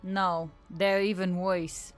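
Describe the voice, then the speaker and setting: A woman's voice: on the low side, little pitch movement, slow, faint music behind. A woman voicing a grumpy, peeved female centaur in a narrated story, grumbling with disdain.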